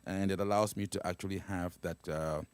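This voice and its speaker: Deep-voiced man demoing a keyboard. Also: steady tone, smooth, dry recording. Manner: explains step by step, often checking in with "right?" and "okay?"; halting, with fillers and restarts. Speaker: keyboard product demonstrator. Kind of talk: casual instrument demo. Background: keyboard pads and guitar playing.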